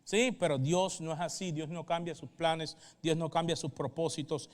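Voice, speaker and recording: A man with a high voice and a Puerto Rican accent delivers a sermon as a pastor. He teaches step by step, stopping for brief check-in questions. The sound carries the long reverb of a hall. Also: steady tone, normal speed. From Puerto Rico. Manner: didactic, checks in with short questions.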